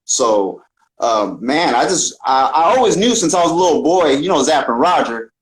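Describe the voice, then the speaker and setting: Slangy Northern California man, slightly high-pitched. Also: big pitch swings, normal speed, low-quality recording. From San Francisco Bay Area, United States. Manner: slangy, earnest, peppered with "man" and "bro". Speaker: podcast guest, talkbox musician. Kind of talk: casual personal storytelling.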